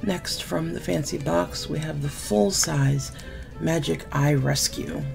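Low gravelly-voiced sick woman vlogging. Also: takes it slowly, over music. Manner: chatty, candid, mildly sarcastic. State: sick, losing her voice, deep cough. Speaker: beauty vlogger. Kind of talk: casual product unboxing chat. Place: small room at home, indoors.

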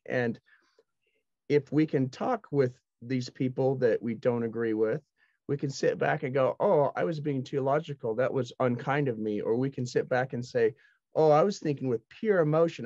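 A man's voice: mid-range voice, darkish tone, no echo. Middle-aged man, dry dull headset sound. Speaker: discussion panelist. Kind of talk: earnest explanatory discussion. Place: indoors, dark backdrop. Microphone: headset on video call. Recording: dull video call audio.

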